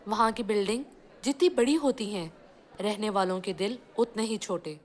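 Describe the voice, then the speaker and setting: A woman voicing a kindly old village grandfather in a children's story, giving advice in a gentle, cautioning manner. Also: mid-range voice, moderate pace, natural voice. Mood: gentle warning.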